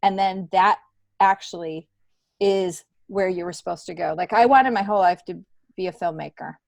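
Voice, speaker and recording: Middle-aged woman, podcast guest, candid casual chat, animated storyteller, close dry recording. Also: lowish voice, moderate pace, gravelly, dry recording. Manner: animated storytelling, acts out remembered dialogue. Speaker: comedian and TV worker, podcast guest.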